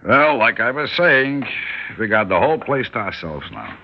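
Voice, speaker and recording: An actor playing an unflappable older shopkeeper in a classic radio drama, needling philosophically with Yiddish-inflected phrasing. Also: male voice, mid-range voice, big pitch swings, moderate pace. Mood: calm, needling. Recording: muffled old radio broadcast.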